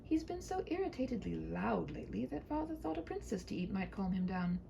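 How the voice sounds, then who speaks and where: A woman's voice: medium pitch, very animated, brisk, creaky, mellow, dull audio, slight background noise. A woman voicing a prim, tender-hearted young princess in a comic fairy tale, earnest and formal. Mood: patient, uneasy.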